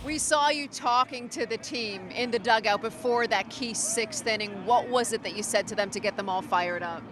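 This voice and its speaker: A woman's voice: on the high side, normal speed, music in the background. A woman asking post-game interview questions as a sports field reporter. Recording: noisy outdoor stadium ambience.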